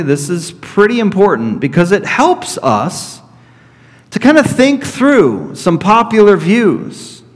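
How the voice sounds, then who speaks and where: A man in his thirties preaches from a church pulpit, taking each point slowly and going back over it. His voice sits a touch high for a man, and the sound rings with the long reverb of a church sanctuary. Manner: slow, deliberate teaching with repeated points. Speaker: pastor. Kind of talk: expository sermon. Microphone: fixed camera at middle distance.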